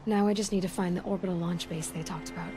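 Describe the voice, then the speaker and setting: A woman's voice: on the low side, steady tone, fast, lightly acted. An actress playing a headstrong, sardonic young woman warrior in a dramatic video game. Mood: wry, determined. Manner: blunt, sardonic, questioning. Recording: loud music behind the voice.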